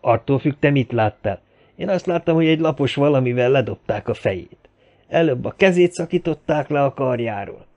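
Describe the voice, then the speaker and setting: A man's voice: mid-range voice, lively intonation, darkish tone, voice pushed up, close to an everyday voice. A cool-headed gunman from an adventure audiobook , played by a male narrator. He is contemptuous and sardonic, with menace in his threats, on a somewhat muffled recording. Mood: sardonic, uneasy.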